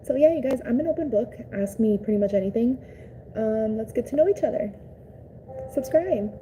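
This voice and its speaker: A woman's voice: fairly high pitch, expressive, moderate pace, mellow, low-quality recording. A young woman from Cuba chatting casually on a live stream, bubbly and greeting her viewers. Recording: faint background noise.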